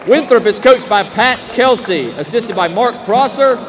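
High-pitched young American male arena announcer. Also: expressive. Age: young adult. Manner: booming showman delivery with drawn-out names. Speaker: public address announcer. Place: courtside in an indoor basketball arena. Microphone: desk microphone on a short stand. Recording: echoing arena PA with loud crowd noise. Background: crowd noise and arena ambience.

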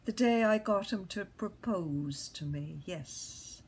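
A woman's voice: mid-range voice, expressive, slow pace, a little mellow, a little hiss or hum. A woman performing a restless, earthy wife who lies awake musing, in a theatrical stream-of-consciousness monologue. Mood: tender, wistful.

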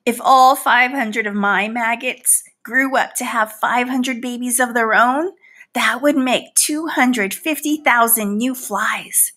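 Storybook housefly, acted by a woman: indignant, easily hurt, boastful, theatrical; slow, forceful bright delivery. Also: a little high, steady tone. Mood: proud, explaining. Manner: theatrical, pleading, mock-wounded and boastful. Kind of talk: storybook read-aloud in character.

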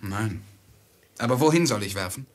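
An actor in a 1950s radio play, playing a merchant's loyal, anxious servant, deferential and urgent. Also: male voice, fairly low pitch, expressive, normal speed, lightly acted. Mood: soothing.

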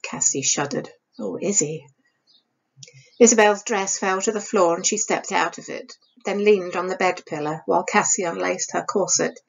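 An older woman, reading a novel aloud as expressive storybook narration. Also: lowish voice, moderate pace, gravelly, hardly any pauses, lo-fi sound, slight background noise. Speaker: author reading her novel. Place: small room indoors.

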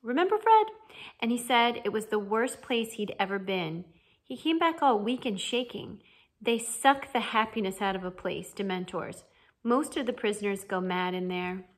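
A woman's voice: mid-range voice, animated, normal speed. A woman voicing an easygoing, reassuring teenage schoolboy with a casual manner, in a storybook reading. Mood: reassuring, grave.

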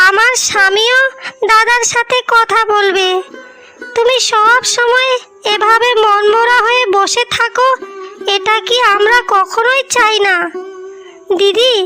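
Woman voicing a very high-pitched young village wife. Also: sharp tone, heavily acted. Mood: pleading, caring. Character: two young married village sisters, earnest and tearful. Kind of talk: cartoon story voice acting.

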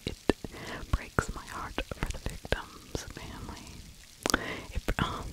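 Slow whispering ASMR woman. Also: rough recording. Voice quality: breathy, unvoiced whisper. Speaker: ASMR true crime host. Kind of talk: whispered ASMR true crime commentary. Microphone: fluffy-covered microphone right at the mouth.

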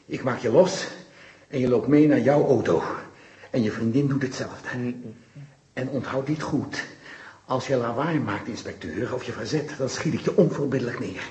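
An actor playing a corrupt, gloating mayor turned kidnapper in an old-fashioned Dutch radio drama, taunting and threatening theatrically. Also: male voice, medium pitch, moderate pace, darkish tone, close to an everyday voice. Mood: tense menace. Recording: roomy, echoing radio play recording.

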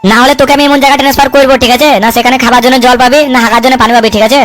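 A woman's voice: sits high, steady tone, rapid-fire, close to an everyday voice. A woman voicing a foul-mouthed, hot-tempered male politician in a comic cartoon, coarse, bullying and full of threats. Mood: furious. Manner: coarse, swearing, hectoring with repeated tag questions. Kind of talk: comic cartoon dub dialogue.

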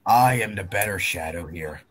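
A man's voice: low voice, normal speed, a little hiss or hum. A man bantering casually in an online group voice chat.

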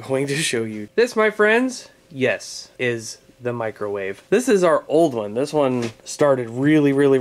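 A congested man in his thirties talks to a handheld camera in a cluttered garage workshop. He sounds easygoing and gets excited quickly, with frequent chuckles. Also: medium pitch. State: stuffed up with a head cold. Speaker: YouTuber. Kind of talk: casual vlog chat. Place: large cluttered wooden garage workshop, indoors. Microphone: camera mic, handheld selfie framing.

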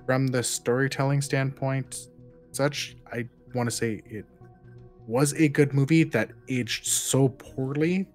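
A man's voice: mid-range voice, fairly even intonation, slow, faint music behind. A man co-hosting a podcast, casually discussing films and thinking aloud in loose, chatty fragments. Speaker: podcast co-host.

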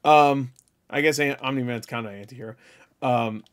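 Animated, foul-mouthed man reacting casually. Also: medium pitch, moderate pace. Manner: profane, animated, joking. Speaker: reaction video host. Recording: game audio playing behind voice. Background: video game dialogue and music.

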